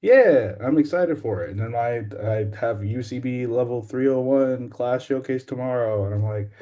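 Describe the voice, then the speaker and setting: A man's voice: medium pitch, normal speed, warm tone. A man talking casually on a podcast panel as a voice actor. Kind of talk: casual chat. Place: library, on a remote call.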